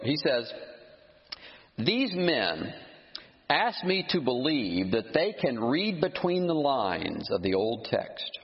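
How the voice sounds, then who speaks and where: A man preaching a sermon, emphatic and animated, scornful of his critics, with frequent restarts. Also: medium pitch. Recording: muffled sound with long hall reverb.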